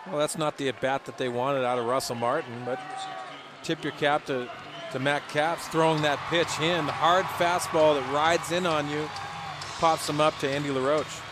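An American man with a fairly high voice works as a radio baseball analyst. He explains plays conversationally, rephrasing as he goes, with a noisy stadium crowd behind him. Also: fairly even intonation, normal speed. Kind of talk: live sports analysis. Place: stadium broadcast booth. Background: ballpark crowd and stadium ambience.

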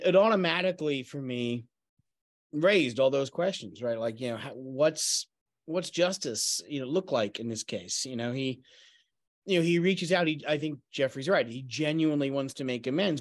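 A middle-aged man, a playwright in a talkback discussion on a video call, speaking haltingly and rephrasing often. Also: a little high, lively intonation, dry recording, clean studio sound. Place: room at home, on a video call.

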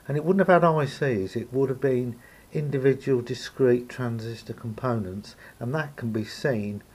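Gravelly, husky man explaining things. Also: mid-range voice, a little mellow. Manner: hesitant, thinking aloud, explanatory. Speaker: hobbyist radio repairer. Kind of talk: unscripted hands-on demonstration talk. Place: indoor room at a table.

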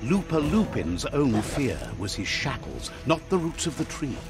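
Over loud music, a solemn male storyteller narrates a video game fable. His pitch is medium for a man and moves in lively, expressive swings, with a grave storybook manner. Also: measured pace.

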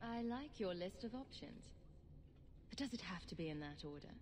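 An actress playing a tough, self-assured champion in a video game, speaking in a dry, direct and wry way. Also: female voice, medium pitch, animated, measured pace, darkish tone. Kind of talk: video game character acting.

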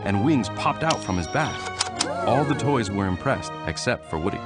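A man reading a children's storybook aloud in a steady, even way. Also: lowish voice, moderate pace. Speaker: storybook reader. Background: music.

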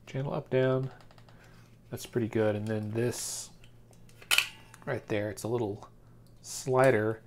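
A man's voice: mid-range voice, darkish tone. American man, casual, noisy unboxing recording. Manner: informal, thinking aloud. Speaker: product reviewer. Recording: clear background noise, handling clicks.

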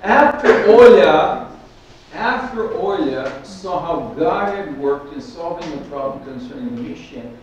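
A man's voice: a little high, unhurried, recorded from a distance, dull audio, a little hiss or hum. An older man preaching a sermon from a lectern, telling a personal story with many restarts. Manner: storytelling, animated with hand gestures, frequent restarts. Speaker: pastor. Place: church hall with stage and keyboard. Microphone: stand mic at lectern, far camera. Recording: echoey hall, speaker off mic.

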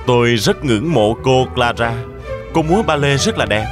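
A gallant young toy soldier, played by a man, from a cartoon fairy tale. He speaks courteously and earnestly at a medium pitch, over faint music. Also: animated, normal speed, lightly acted. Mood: admiring, courteous.

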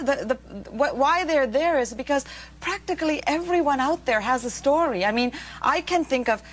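Insistent higher-voiced woman in debate. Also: a bit bright. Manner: insistent, argumentative. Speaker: media spokesperson, panel guest. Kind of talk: panel debate. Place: TV studio round table. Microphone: lapel.

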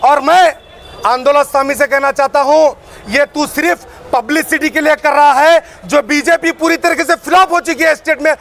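A man gives an impassioned, forceful public statement as an activist, speaking emphatically outdoors to a news camera. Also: very high-pitched, normal speed, gravelly. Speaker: community activist giving a press statement. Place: busy open street outside shopfronts. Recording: outdoor street noise.

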